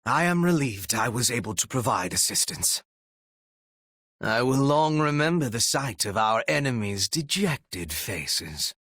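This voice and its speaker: An actor playing a cold, menacing and devoted retainer and strategist in a video game, with formal, archaic, theatrical diction and a raspy voice. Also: male voice, medium pitch, big pitch swings, a little slow, fairly bright tone, no room sound, clean studio sound, heavily acted. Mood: solemn, humbled.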